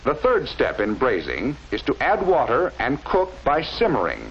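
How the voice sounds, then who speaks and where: A man narrating a scripted 1940s instructional film in a measured, didactic way with careful enunciation, on a vintage film soundtrack. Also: mid-range voice, huge pitch swings, fairly bright tone, highs cut off, a little hiss or hum.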